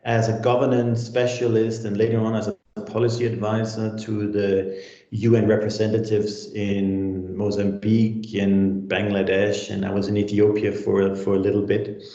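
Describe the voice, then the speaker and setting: A man's voice: fairly low pitch, level tone, normal speed, dark tone, reverberant, lo-fi sound. A man in his forties from Denmark, a senior lecturer answering interview questions in a reflective way with many restarts.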